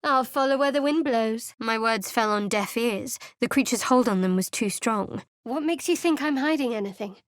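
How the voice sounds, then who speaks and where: A secretive young cleric from a fantasy game, played by a woman, who talks in a dry, wry tone and keeps people at arm's length. Her voice sits a little high. Each line is clean and close, with no room sound, as from a studio booth. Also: normal speed, hardly any pauses, dry recording, lightly acted. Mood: wry, guarded. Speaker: game character voice. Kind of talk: video game voice acting.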